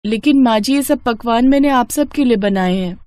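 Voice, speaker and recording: Woman as a high-voiced exasperated young bride. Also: moderate pace, mellow, no room sound, natural voice. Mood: polite, concerned. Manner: polite aloud, grumbling inwardly. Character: young new bride, polite but secretly exasperated daughter-in-law.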